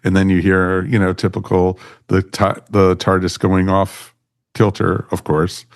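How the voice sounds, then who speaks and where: A man with a low voice chats as a podcast panelist, recorded dry and free of room echo. His delivery is casual and halting, with sentences reworked midway. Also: flat delivery. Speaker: podcast panelist reviewing audio dramas.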